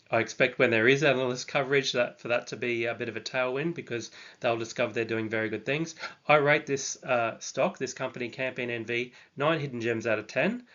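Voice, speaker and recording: A man's voice: medium pitch, a bit bright, lo-fi sound. Hesitant man talking stock picks. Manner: hesitant, many ums and restarts. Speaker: stock channel host. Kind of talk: casual stock analysis monologue.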